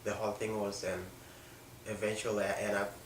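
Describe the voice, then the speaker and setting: A young man from South Sudan with a South Sudanese accent tells a personal story unscripted, in a halting way with pauses mid-sentence. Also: fairly low pitch, monotone. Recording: echoey room, distant mic, faint noise.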